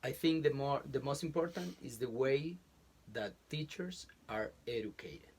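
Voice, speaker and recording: A man from Colombia with a Colombian Spanish accent, speaking as a college professor and interview guest in casual chat. He talks haltingly, restarting phrases as he searches for words, recorded in a small home closet. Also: mid-range voice, expressive, a little mellow, husky.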